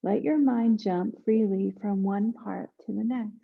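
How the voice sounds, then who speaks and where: A woman guiding a yoga nidra meditation in a hushed, soothing manner, leaving long pauses between instructions. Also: mid-range voice, vocal fry, narrow, tinny audio. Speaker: meditation guide.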